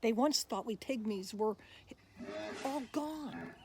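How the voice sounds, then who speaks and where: A woman voicing a small, shy, reclusive pygmy hippo in a rhyming picture book, with drawn-out, emphatic delivery. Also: fairly high pitch, lively intonation, mellow, slight background noise. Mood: coy, earnest.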